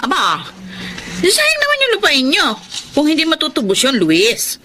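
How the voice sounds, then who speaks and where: An actress playing a pushy, calculating older sister with a Filipino accent in a radio drama. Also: female voice, high voice, huge pitch swings, moderate pace, pushed and bright, a little hiss or hum, full character voice. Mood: coaxing, scheming.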